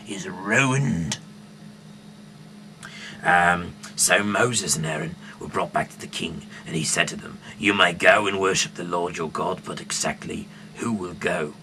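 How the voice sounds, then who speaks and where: Very deep gravelly man reading scripture haltingly. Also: a little hiss or hum, poor audio. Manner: halting, stumbling over words, with sudden loud emphasis. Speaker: amateur Bible reader. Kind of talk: reading scripture aloud with off-the-cuff asides.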